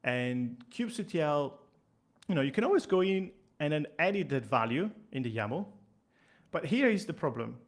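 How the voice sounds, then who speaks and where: A man's voice: on the high side, very animated, normal speed. A man giving a casual online technical explanation, with fillers and repeated words. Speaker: trainer.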